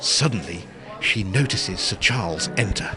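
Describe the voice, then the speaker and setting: Deep-ish guy doing wry scripted story narration, music clearly behind him. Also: expressive, measured pace.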